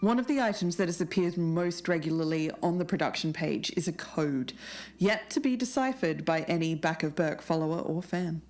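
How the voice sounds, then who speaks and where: A woman narrating an investigative podcast as co-host in a measured way. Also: fairly low pitch, lively intonation, a little mellow, a little hiss or hum.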